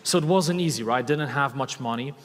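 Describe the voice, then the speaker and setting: Energetic Polish-accented man on stage. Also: medium pitch, fairly quick. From Poland. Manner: enthusiastic, asks the audience questions, repeats key words. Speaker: speaker giving a talk on stage. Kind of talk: energetic live stage talk to an audience. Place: large hall with live audience. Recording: long hall reverb from a stage talk.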